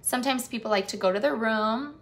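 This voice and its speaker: A woman reading a picture book aloud to children and chatting with young viewers as their host, encouraging them with direct questions and expressive, drawn-out phrasing. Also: a little high.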